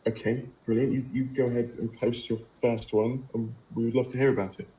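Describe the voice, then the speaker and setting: A man's voice: medium pitch, flat delivery, fairly quick, dark tone, muffled recording. A man hosting an online discussion, making brief, polite remarks that keep the conversation moving. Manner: brief, polite, moves things along. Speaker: podcast host. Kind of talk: moderating a discussion.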